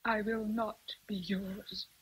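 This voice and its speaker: A woman's voice: mid-range voice, level tone, takes it slowly, breathy edge, rough recording. An actress playing a sorrowful, principled young Englishwoman in a radio drama, restrained, grave and firm. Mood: sorrowful resolve.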